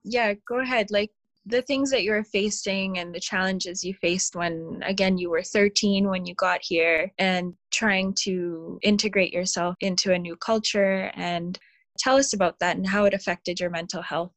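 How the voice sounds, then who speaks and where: A woman's voice: mid-range voice, steady tone, moderate pace, a bit bright, dry recording. A woman co-moderating a panel, prompting guests in a casual, encouraging way.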